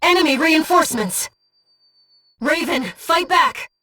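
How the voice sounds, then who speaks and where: A woman voicing an earnest, gentle guide who speaks inside a pilot's head in a mecha video game, in measured scripted delivery. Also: high voice, far from the mic. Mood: urgent alarm. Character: soft-spoken, earnest female voice in a pilot's head, loyal guide. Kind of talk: scripted video game voice acting, tactical callouts.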